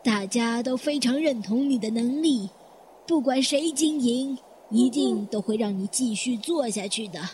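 A woman acting in a Chinese audio drama as a courteous, composed elderly hotel guest with a polite, measured way of speaking. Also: on the high side, mellow, a little hiss or hum, natural voice. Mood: reassuring, polite.

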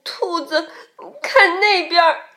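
A woman's voice: very high voice, takes it slowly, bright, forceful tone, reverberant, pitched above the performer's own voice, exaggerated voice. A woman voicing a timid young rabbit in a children's storybook, trembling and fearful as it speaks. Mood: scared. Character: timid, whimpering young rabbit, frightened sidekick.

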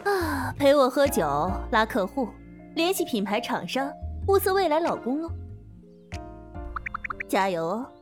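A woman's voice: sits high, very animated, unhurried, long pauses. A woman acting a brazen, seductive female boss in a modern radio drama, teasing and provocative. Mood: playful. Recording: music behind the voice.

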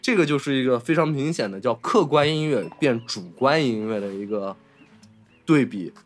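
A male podcast host chats about film over faint background music. His voice sits at a middling pitch. He is colloquial and enthusiastic, often circling back and repeating his words. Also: normal speed. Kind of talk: casual film discussion.

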